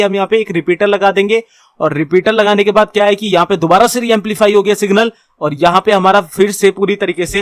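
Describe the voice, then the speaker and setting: A man with an Indian accent giving an explanatory tutorial as an instructor, reading points out slowly and then explaining them informally with repetition. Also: high voice, dry recording, studio quality. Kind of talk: explanatory tutorial lecture mixing Hindi and English.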